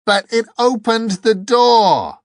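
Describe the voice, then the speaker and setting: A man's voice: medium pitch, animated, takes it slowly, no echo, clean studio sound. A man voicing a cruel, vain fallen angel with a grandiose, theatrical manner for an audiobook. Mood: furious, shrieking. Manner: pompous, grandiose, shouting and hissing.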